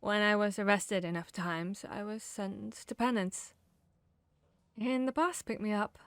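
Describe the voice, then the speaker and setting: Wry, wounded young female vampire hunter, acted by a woman, for fantasy ASMR roleplay; unhurried, confessional delivery, dry close sound. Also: mid-range voice, clear, resonant tone, dry recording, close to an everyday voice. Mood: wry, resigned. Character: young woman vampire hunter confiding a traumatic past, wry and self-deprecating.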